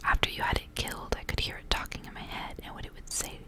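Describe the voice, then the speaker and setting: A woman voicing a calm young goose girl who was once a princess, in a breathy whispered storybook reading. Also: quick pace, close to an everyday voice. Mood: calm, resolute.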